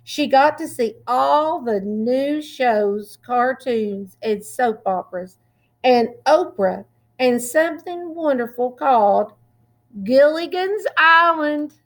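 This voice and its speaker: Woman, storytime reader, slightly high pitch, very unhurried, expressive, drawn-out words, children's read-aloud. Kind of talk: read-aloud picture book narration for children.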